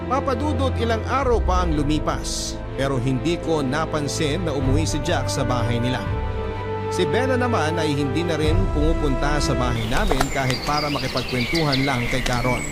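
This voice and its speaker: Brief: actor reading as a guilt-ridden husband, confessional letter narration, heavy music bed. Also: male voice, mid-range voice, close to an everyday voice. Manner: worried, confessional. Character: worried married man confessing in a letter. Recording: loud background music score.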